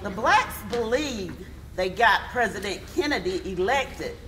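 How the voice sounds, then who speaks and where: Actress as affirming history storyteller, earnest, emphatic, readers theatre, mid-range voice, background noise. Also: female voice, medium pitch, lively intonation, a little slow, natural voice. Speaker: stage narrator and responder. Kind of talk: scripted readers theatre with call and response. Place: live stage performance. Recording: live stage, clear background noise.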